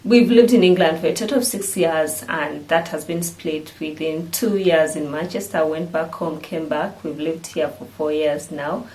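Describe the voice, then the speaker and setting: A woman's voice: low voice, moderate pace, few pauses, mellow, rough recording. A woman in her thirties with a Ugandan accent, hosting a vlog in casual chat to the camera. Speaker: vlog host.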